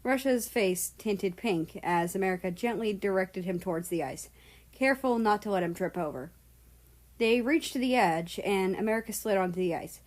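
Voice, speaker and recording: A woman narrating a scripted story in a storybook style. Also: fairly low pitch. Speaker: fan fiction narrator.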